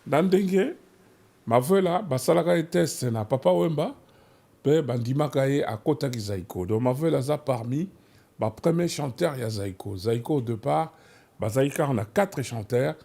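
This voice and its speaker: Male host, Congolese accent of Kinshasa; relaxed, dark timbre with audible fry, conversational storyteller. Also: medium pitch, moderate pace. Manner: switches between French and Lingala. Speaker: host of a music history programme.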